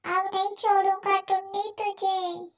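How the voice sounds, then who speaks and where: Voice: extremely high pitch, little pitch movement, measured pace, a bit bright, full character voice. A performer voicing a jealous, vengeful male crow in a children's cartoon, with a sly, accusing manner. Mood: scheming, spiteful. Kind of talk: children's cartoon story acting.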